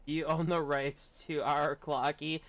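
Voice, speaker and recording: Bright, clear-voiced man streaming a game. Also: fairly high pitch, level tone, slow. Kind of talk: casual live gameplay commentary, reacting.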